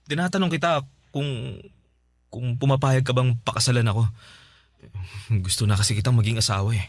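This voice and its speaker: A man's voice: medium pitch, brisk, no room sound, close to an everyday voice. An actor with a Filipino accent playing a meek, lovesick, abused man in a radio drama, timid and stammering. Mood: hopeful, unsure. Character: meek, lovesick abused older brother, pleading and submissive.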